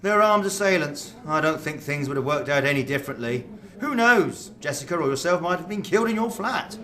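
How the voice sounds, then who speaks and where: A man's voice: on the high side, expressive, fairly quick, sharp tone. A man playing a courteous, reassuring police officer in a radio drama. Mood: calm, sympathetic. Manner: polite, reassuring.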